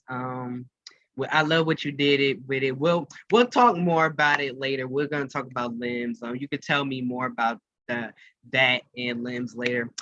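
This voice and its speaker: Male music show host, casual and halting, forceful bright tone, dry but slightly muffled lo-fi recording. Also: mid-range voice, dry recording.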